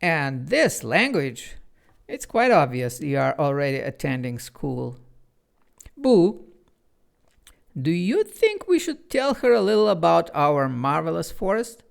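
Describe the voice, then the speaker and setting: Storybook: theatrical, lisping male clock spirit with a gravelly edge, read by a slow narrator. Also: medium pitch, very animated, heavily acted.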